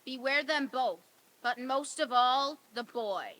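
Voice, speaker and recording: A woman's voice: sits high, slow pace, a bit bright. A woman playing a solemn, foreboding Christmas spirit in a staged radio play reading. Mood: grave warning. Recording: hissy, noisy live stage recording.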